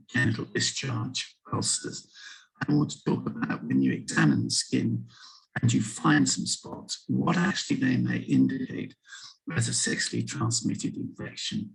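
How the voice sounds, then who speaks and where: Hoarse, husky older man lecturing. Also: mid-range voice, low-quality recording. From England. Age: 60s. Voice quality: gravelly, breathy. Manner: measured, explanatory, with frequent ums. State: husky from a sore throat. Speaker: guest lecturer, HIV physician. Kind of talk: online medical lecture. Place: home room with empty shelves and packing boxes. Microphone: video call.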